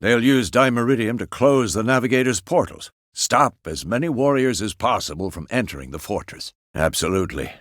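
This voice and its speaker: A man playing a gruff, seasoned old monster-hunter mentor in a video game, with gravelly, measured, instructive delivery. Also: mid-range voice, expressive, no room sound, clean studio sound, lightly acted. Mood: stern, practical. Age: older. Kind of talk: video game character dialogue.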